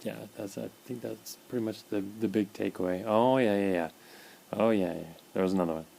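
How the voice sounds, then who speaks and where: Man, very low voice, warm and mellow tone, halting casual diary talk, dry mouth, faint room hiss. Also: creaky. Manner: halting, many repeated words and fillers. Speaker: vlogger documenting his illness. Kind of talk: casual personal video diary.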